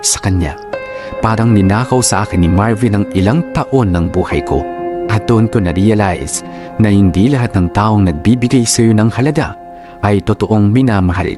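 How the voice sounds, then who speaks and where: A man narrating a letter for a radio drama in measured phrases, with sentimental, dramatic delivery. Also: on the low side. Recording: clear music bed behind.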